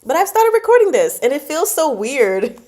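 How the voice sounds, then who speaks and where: A woman chatting casually and informally to her livestream viewers, giggly and animated as she talks. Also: high-pitched, moderate pace. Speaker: host of a book club channel and amateur audiobook narrator. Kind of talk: casual livestream chat, reading out and answering comments.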